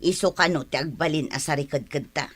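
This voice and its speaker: A woman's voice: low voice, fairly quick, slightly rough. A woman playing a greedy, domineering middle-aged village mother with an Ilocano accent and a pushy, mocking manner in a radio drama. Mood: scheming.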